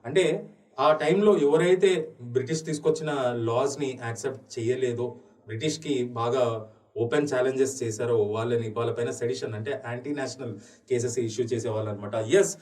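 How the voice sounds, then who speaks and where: A man from India with a Telugu accent lecturing as an exam coaching teacher, switching between Telugu and slow, deliberate English. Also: mid-range voice, darkish tone. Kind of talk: lecture walking through exam questions. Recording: echoey room, distant mic.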